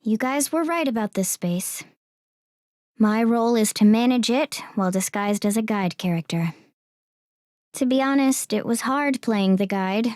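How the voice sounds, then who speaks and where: High-voiced scheming game guide girl. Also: lively intonation, unhurried, darkish tone, no echo, clean studio sound. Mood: smug, bitter. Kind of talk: anime video game dub acting. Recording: dramatic background music.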